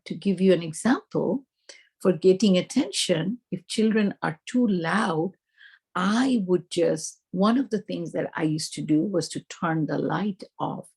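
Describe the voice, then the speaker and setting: Woman, teacher trainer, Indian accent. Explanatory delivery with a gravelly edge, often asking and answering her own questions. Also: lowish voice. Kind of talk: instructional presentation to teachers.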